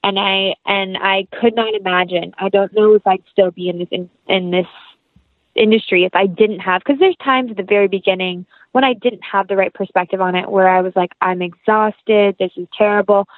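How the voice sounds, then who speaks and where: A woman's voice: mid-range voice, steady tone, moderate pace, dry recording. Earnest young woman on a phone-like line. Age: young adult. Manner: earnest, heartfelt, rambling. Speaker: podcast guest, actress. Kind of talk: casual interview chat.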